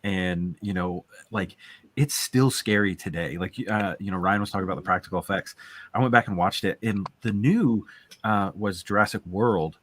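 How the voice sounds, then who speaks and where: A man in his thirties chatting casually on a video call as a co-host, in a laid-back, halting, informal way. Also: deep voice, a bit bright. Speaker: guest co-host on a live show. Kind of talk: casual chat, reminiscing.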